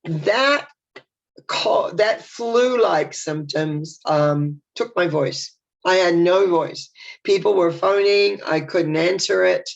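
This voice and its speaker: A woman's voice: fairly low pitch, slow, gravelly. Nasal older woman with a head cold. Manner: chatty, warm and reflective, with rambling restarts. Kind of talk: casual spoken video message. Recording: dull lo-fi sound.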